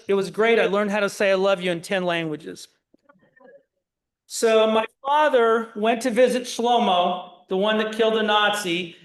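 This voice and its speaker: A man's voice: high voice, a bit bright. A middle-aged man from Indiana giving a public talk, retelling family history in short conversational phrases. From Indiana, USA. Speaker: speaker at a Holocaust education program. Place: synagogue hall. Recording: echoey hall.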